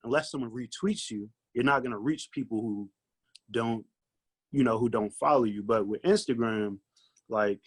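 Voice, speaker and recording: A man's voice: medium pitch, normal speed, breathy edge. A man speaking as a guest artist in a casual podcast discussion, colloquial and thinking aloud with lots of filler phrases. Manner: colloquial, thinks aloud with repeated "like" and "you know".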